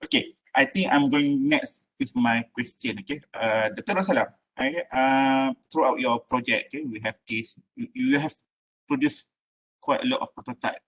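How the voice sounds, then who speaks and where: A man's voice: mid-range voice, moderate pace, a bit bright, poor audio. A man moderating the question session of an online academic lecture. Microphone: video call.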